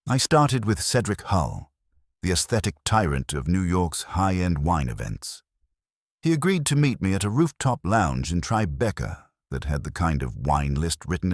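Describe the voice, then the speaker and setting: A man narrating a scripted first-person detective story in a wry, measured storytelling manner. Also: deep voice, no room sound, studio quality.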